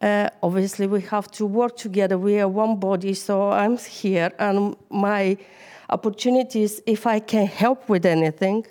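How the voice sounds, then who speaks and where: A woman's voice: mid-range voice, steady tone, normal speed, dark tone. A woman with a Bulgarian accent, whose first language is Bulgarian, giving an informal, hesitant talk to a church group. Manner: hesitant, many fillers. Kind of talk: informal sermon.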